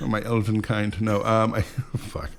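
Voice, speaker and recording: A man's voice: fairly low pitch, level tone, a little slow, background noise. A man around fifty from California, telling rambling stories in a casual, profane, joking way as a podcast guest. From California, USA. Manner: rambling, profane, jokey storyteller.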